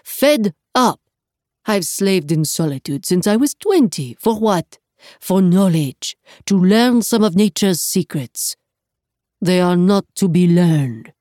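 A woman's voice: on the low side, expressive, slow, warm tone, no room sound, clean studio sound. A woman voicing a small, passionate and proud medieval French alchemist man, courteous and declamatory, in a storybook reading. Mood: fed up.

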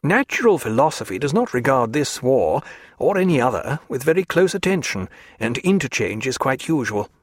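A male narrator voicing a reserved, earnest naturalist who speaks in a measured, formal way. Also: medium pitch, sing-song, dry recording, studio quality.

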